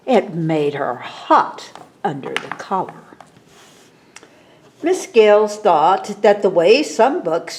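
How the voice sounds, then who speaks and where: Slow-paced woman reading a children's story. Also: medium pitch. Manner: expressive, explanatory asides to children. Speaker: library story time reader. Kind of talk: read-aloud of a children's picture book with asides.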